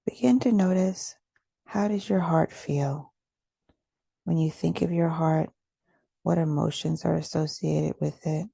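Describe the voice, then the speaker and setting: Woman doing a guided meditation, really mellow n dark voice, bit of creak, slow and gentle, breathy. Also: deep voice, no room sound. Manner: slow, gentle, deliberate, with long pauses. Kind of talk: guided meditation, soft and soothing.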